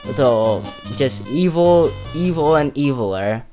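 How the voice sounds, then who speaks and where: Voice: medium pitch, expressive, normal speed, mellow. A casual speaker giving unscripted, rambling commentary, thinking aloud with slangy, hesitant phrasing. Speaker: video creator presenting a character ranking. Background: music, plucked guitar.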